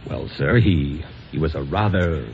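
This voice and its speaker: A man's voice: very deep voice, a little slow. An actor in an old-time radio drama playing a polite, careful male hotel desk clerk, slightly hesitant and very deferential. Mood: apologetic, formal. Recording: old muffled radio recording with noise.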